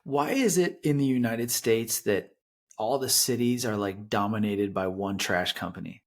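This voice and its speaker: A man hosts an interview podcast, his voice set a little low. He asks his questions loosely and conversationally, peppering them with "like" as he goes. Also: normal speed. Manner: casual, many "like" fillers. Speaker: podcast host. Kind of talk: casual interview. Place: Los Angeles.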